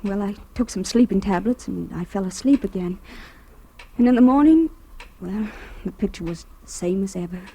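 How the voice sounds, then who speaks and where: A woman's voice: mid-range voice, animated, normal speed, warm tone, breathy edge, poor audio. An actress playing an anxious, hesitant young woman who fears for her sanity, in the style of 1940s radio drama. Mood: fearful. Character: frightened, high-strung young woman of twenty-three fearing madness. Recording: old radio recording with music.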